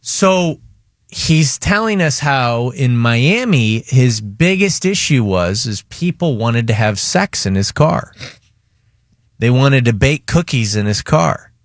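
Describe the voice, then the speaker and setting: A man hosting a radio show, telling a story in casual, animated banter with co-hosts and callers. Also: mid-range voice, no room sound, studio quality. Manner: animated storyteller, quick asides.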